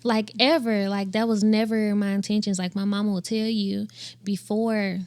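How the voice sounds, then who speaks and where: A young woman in her twenties, a gospel singer with a high voice, telling her story in an animated interview. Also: moderate pace, dry recording. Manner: animated, laughs often, acts out quotes in a higher voice. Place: podcast studio set with couches. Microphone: boom mic on a stand.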